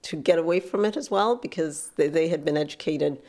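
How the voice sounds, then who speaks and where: Low-voiced, hesitant middle-aged woman. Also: fairly quick. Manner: soft-spoken, hesitant, many fillers and restarts. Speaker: interview guest. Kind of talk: casual interview conversation.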